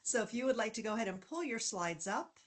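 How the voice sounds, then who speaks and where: A woman hosting an online pitch meeting, welcoming panelists in an upbeat manner. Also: animated, fast. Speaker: meeting host.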